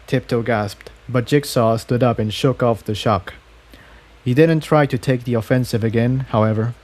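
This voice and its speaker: Male story reader with a mellow, relaxed tone, reading scripted prose with small stumbles. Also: mid-range voice, normal speed. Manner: stumbles and repeats phrases while reading. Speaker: fan fiction reader.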